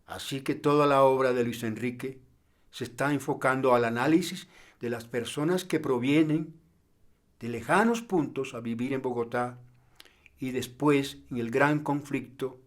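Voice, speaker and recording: Guy explaining things formally, mid pitch, careful phrasing bit by bit. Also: steady tone, a little slow. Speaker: commentator on a playwright's work. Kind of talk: measured explanatory commentary.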